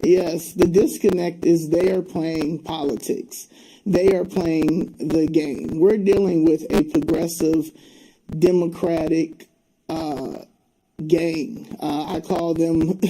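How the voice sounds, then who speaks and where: A woman's voice: low-pitched, steady tone, slow, warm tone, husky, rough recording. A woman from Albany, New York, speaking earnestly and emphatically as an interview guest. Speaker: interview guest, community advocate.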